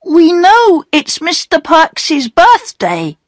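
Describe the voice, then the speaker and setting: An eager, polite young boy, as a woman puts him on in a storybook. His voice is very high and slightly distant, and he speaks slowly. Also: huge pitch swings, no echo, clean studio sound, heavily acted.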